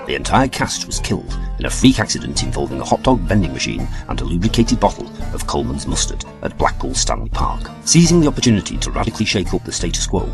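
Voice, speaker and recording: A man narrating a spoof documentary in a deadpan manner. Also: deep voice, vocal fry.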